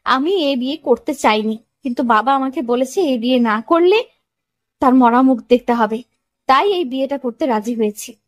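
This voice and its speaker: A woman's voice: sits high, normal speed, dry recording, studio quality, natural voice. A woman playing an upset young bride in an audio love story, cold, blunt and insistent. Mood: cold, defiant.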